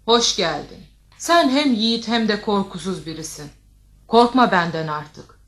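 A woman's voice: medium pitch, lively intonation, measured pace. A woman playing a motherly, protective giant ogress who speaks wisely and with authority in an audio fairy tale. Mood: welcoming, protective.

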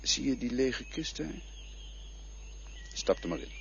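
An actor playing an enigmatic male guide to death in a radio play, philosophical and quietly insistent. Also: medium pitch, lively intonation, slow, gravelly, muffled recording, slight background noise. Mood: coaxing. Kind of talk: radio drama acting.

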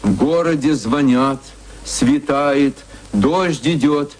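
A man reciting his own verse in a measured, solemn, chanting way, from an archive recording. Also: mid-range voice, a little mellow. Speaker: poet reading his verse.

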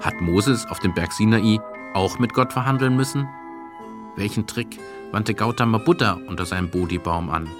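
A man's voice: on the low side, normal speed, over music. A man delivering scripted, rhetorical narration in a radio production.

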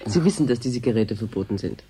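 An actor playing a courteous, inquisitive station superior in a German radio drama. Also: male voice, fairly low pitch, animated, quick pace, mellow, husky. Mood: affable, curious.

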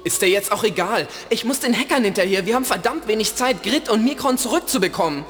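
A plucky boy adventurer in a children's radio drama. The high voice jumps about in pitch, eager and slangy, over background music. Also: animated, quick pace, fairly bright tone. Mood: urgent. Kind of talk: children's audio drama acting.

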